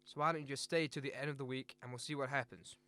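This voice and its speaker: A man playing a calm rehab centre worker in a radio drama, matter-of-fact and gently insistent. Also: mid-range voice, fast, lightly acted. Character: calm, firm rehab center worker leading group sessions.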